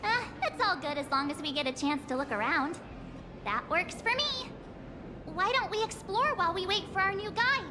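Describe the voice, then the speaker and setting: Bubbly teenage girl adventurer, very high voice, animated and playful, anime game, music underneath. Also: moderate pace. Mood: curious, excited. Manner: exclaiming, playful, teasing. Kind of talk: anime-style video game voice acting. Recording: music behind the voice.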